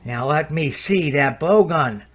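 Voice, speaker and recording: A man playing a nervous, scheming, hapless fellow in an old-time radio comedy, halting and coaxing. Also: on the high side, animated.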